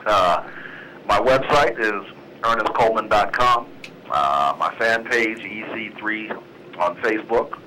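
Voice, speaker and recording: A man, a jazz band leader, guesting on a radio talk show and speaking in a relaxed, easygoing way. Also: on the low side, measured pace, slight background noise, poor audio. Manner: relaxed, easygoing, many fillers. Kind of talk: casual radio interview.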